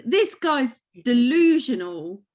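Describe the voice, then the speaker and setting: A woman co-hosting a podcast, chatting casually, sarcastic and quick to laugh out loud. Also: high voice, big pitch swings. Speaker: podcast co-host. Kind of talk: casual chat.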